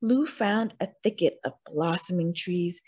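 A woman reading a children's storybook aloud as an expressive narrator. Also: lowish voice, a little slow, slightly rough. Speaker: storybook reader.

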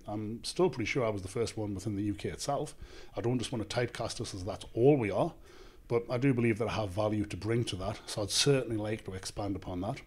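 A man in his thirties with a Northern English accent, a gym owner and author answering podcast questions in a measured, confident way, speaking into a handheld mic in a small office studio. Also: lowish voice, a little mellow. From England. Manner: measured, confident, explains in lists. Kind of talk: casual interview answers. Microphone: handheld microphone.

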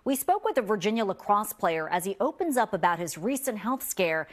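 A middle-aged woman anchoring and reporting a TV news health story in a clear, measured newsreader style. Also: medium pitch, big pitch swings, no room sound. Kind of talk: scripted news narration. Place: TV studio.

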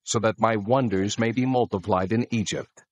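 A man playing God as a solemn, authoritative deity, giving measured instructions in a dramatized scripture reading. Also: lowish voice, a little mellow, no room sound, lightly acted. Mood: solemn, commanding.

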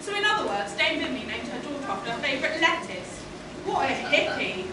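Lady playing a snooty panto narrator, pompous and high pitched, echoey noisy hall. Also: very animated, a little fast. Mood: smug. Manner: pompous, sarcastic, theatrical. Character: smug, snooty pantomime storyteller reading from a book. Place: stage in a small theatre. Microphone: distant camera. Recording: echoey noisy theatre from far off.

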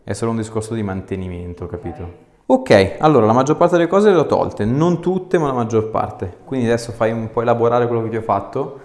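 A man's voice: mid-range voice, fairly quick, darkish tone. A man, speaking as a chiropractor in short, direct, reassuring instructions. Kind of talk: hands-on exam instructions and casual explanation. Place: small indoor treatment room. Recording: echoey small treatment room.

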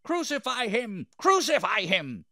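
Angry priest in a scripture drama, acted by a male narrator: strident, accusatory shouting, pushed high, dry sound. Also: huge pitch swings, unhurried, no echo, full character voice. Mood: furious.

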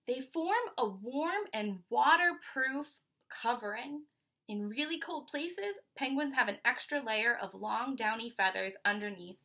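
A woman in her twenties with an American accent reads a children's picture book aloud like a teacher, pausing to explain and ask questions. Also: fairly high pitch, bright, forceful tone. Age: 20s. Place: small furnished room at home, indoors.

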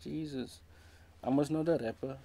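A man's voice: a little high, normal speed, creaky. A man in his thirties from South Africa with a Cape Town accent, giving frank, casual commentary in a small room and slipping Afrikaans phrases into his English. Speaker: music reaction host. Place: small indoor room with a brick wall.

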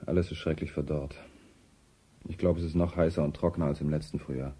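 An actor playing a bitter, obsessed, grieving man with a dark, husky voice in a radio play. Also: a bass voice, steady tone, fast talker. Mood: bitter, brooding.

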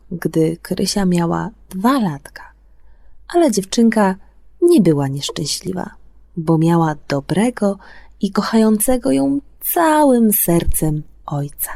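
Expressive, slow-paced woman telling bedtime stories. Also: mid-range voice, creaky, dry recording. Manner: expressive, drawn-out delivery for children. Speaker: radio host and storyteller. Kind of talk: children's bedtime radio show, presenting and storytelling. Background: music.

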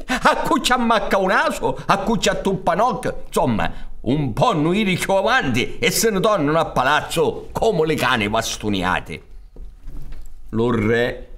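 A man in his sixties with a Sicilian accent narrating a fairy tale theatrically, in an expressive, conspiratorial way. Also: a little high. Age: 60s. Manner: expressive, chuckling, conspiratorial asides. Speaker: storyteller. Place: theatre stage. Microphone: studio microphone on stand.

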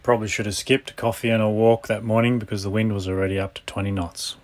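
A man's voice: fairly low pitch, fairly even intonation, fast. A man, narrating his sailing vlog in a matter-of-fact, tired and wry way, filmed close up on a handheld camera aboard a boat in a marina. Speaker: sailing vlogger. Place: on a sailboat in a marina, outdoors. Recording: outdoor wind and ambient noise.

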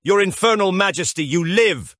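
Man playing Belial, high-pitched swooping demon lord. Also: animated, moderate pace, a bit bright, dry recording, studio quality. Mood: relieved. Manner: shrill, theatrical, fawning. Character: Belial, scheming obsequious male demon lord. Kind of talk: audiobook character acting.

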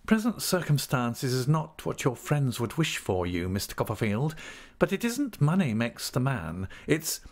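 A man's voice: mid-range voice, very animated, measured pace, voice pushed up. A man voicing a falsely humble, scheming clerk in an audiobook, meek, oily and obsequious. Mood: fawning. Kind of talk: audiobook character acting.

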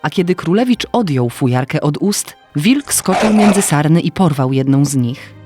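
A man narrating a scripted fairy tale as an expressive, literary storyteller. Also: fairly high pitch, normal speed. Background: faint music.

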